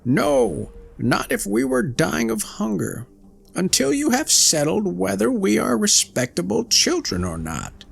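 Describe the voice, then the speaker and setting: Shrill furious baby wrens. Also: male voice, wildly swooping pitch, a little slow, vocal fry, husky, full character voice. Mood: outraged. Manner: high squealing outraged cries.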